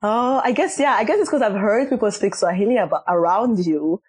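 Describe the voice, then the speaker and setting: A woman's voice: mid-range voice, lively intonation, a little fast, breathy edge, no echo. A woman hosting a podcast, chatting casually and informally with her guest as if with a girlfriend. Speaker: podcast host interviewing a guest.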